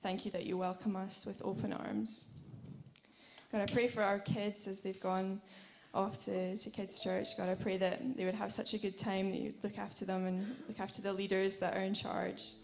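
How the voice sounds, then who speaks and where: Creaky female voice, church prayer leader, earnest spontaneous praying, mid pitch, noticeable background noise with clicks. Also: little pitch movement, normal speed, a little mellow. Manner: earnest, repeated phrases of thanks.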